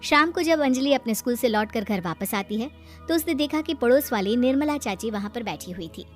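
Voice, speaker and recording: A woman's voice: on the high side, expressive, fairly quick, faint music behind. A woman reading scripted story narration as the narrator.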